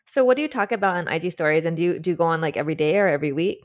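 A woman's voice: low voice, rapid-fire. A woman hosting a podcast in casual chat, bubbly and laughing a lot. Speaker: podcast host.

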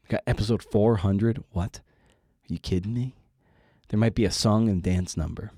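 Deep, mellow-voiced man hosting a podcast. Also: moderate pace, breathy edge, no echo, clean studio sound. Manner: rambling, informal, playful asides. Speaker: podcast host. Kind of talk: casual interview and outro chat.

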